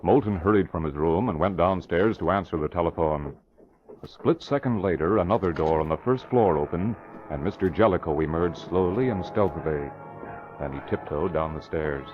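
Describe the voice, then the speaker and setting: A man's voice: low voice, moderate pace. A man narrating a scripted 1940s radio drama. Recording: dull old radio recording. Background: music.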